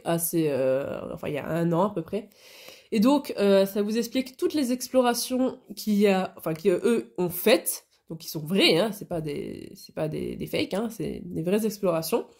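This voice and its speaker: A woman's voice: mid-range voice, very animated, measured pace, darkish tone. Stuffy-nosed woman chatting casually. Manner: chatty, many hesitations and restarts. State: stuffy nose from a cold. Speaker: hobby vlogger. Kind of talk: casual chat.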